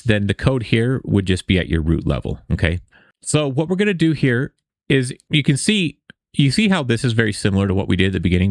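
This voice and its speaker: A man's voice: lowish voice, no room sound, clean studio sound. A man hosting a casual screen-share coding tutorial, explaining step by step in an informal, conversational way. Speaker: tutorial host.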